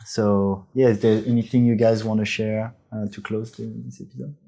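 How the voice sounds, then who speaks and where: A man hosting a podcast interview, talking in a relaxed, halting way with frequent restarts. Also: on the low side. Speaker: podcast host.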